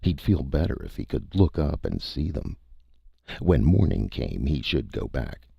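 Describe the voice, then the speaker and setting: A man's voice: very deep voice, sing-song, measured pace, creaky, husky, no echo, highs cut off. A man narrating a scripted story as a dramatic audiobook reader.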